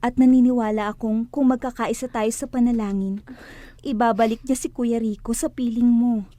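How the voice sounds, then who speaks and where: A woman plays a devout sister-in-law in a religious radio drama. Her voice is fairly high and warm, recorded very dry and close. She speaks with earnest conviction, persuading and reassuring. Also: steady tone, normal speed, no echo, lightly acted. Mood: earnest, comforting.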